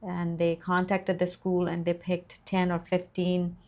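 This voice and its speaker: Low, even-voiced woman from Nepal. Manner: earnest, grateful, measured. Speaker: nurse practitioner guest. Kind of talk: interview answers, life story.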